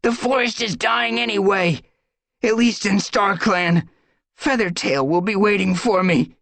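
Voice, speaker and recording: A reckless, bitter young tomcat in a storybook, spitting out his words, as a man puts him on. The voice sits well above the narrator's own, husky and dry. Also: a little high, sing-song, a little fast, dry recording, pitched above the performer's own voice, exaggerated voice. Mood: defiant. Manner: spitting, hissing.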